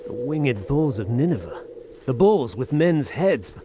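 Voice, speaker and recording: An actor playing a weary, hard-bitten soldier of fortune in an audio drama, speaking in folksy old-fashioned slang with grim resignation. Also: male voice, medium pitch, big pitch swings, a little slow, over music. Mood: awed, excited.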